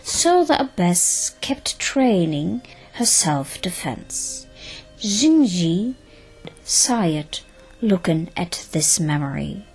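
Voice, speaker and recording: A woman's voice: fairly low pitch, big pitch swings, drawn-out words, darkish tone, slightly rough, poor audio. A woman narrator reading a scripted, dramatic story for an audio drama. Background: faint music.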